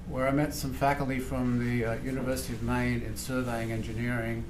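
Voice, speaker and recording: Middle-aged Australian man, echoey noisy room. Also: medium pitch. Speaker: visiting professor, seminar speaker. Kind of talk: informal academic seminar talk. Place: indoor university seminar room. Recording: echoey lecture room, clear background noise.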